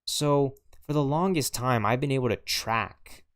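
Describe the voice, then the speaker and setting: A man's voice: mid-range voice, unhurried, a bit bright. A man in his twenties talking casually over gameplay, rambling with many fillers and repeats. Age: 20s. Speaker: gaming streamer.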